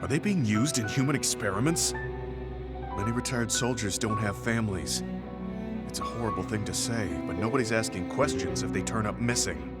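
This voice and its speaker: A man playing a stoic, skeptical veteran soldier in a video game, with a grave, measured delivery. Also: on the low side, natural voice. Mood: suspicious, grave. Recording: music behind dialogue. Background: game soundtrack music.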